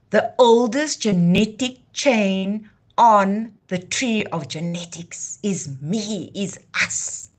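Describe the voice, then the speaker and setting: Woman, low-pitched, declamatory, fervent, live stream host. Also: creaky. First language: Afrikaans. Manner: declamatory, passionate. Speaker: livestream host. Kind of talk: impassioned heritage talk.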